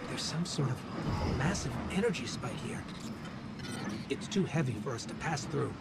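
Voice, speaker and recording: A male actor playing a small, earnest, wary robotic companion in a video game, with an expressive, inquisitive delivery. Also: mid-range voice, moderate pace, gravelly, very noisy. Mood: wary, uneasy. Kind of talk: dramatic video game voice acting.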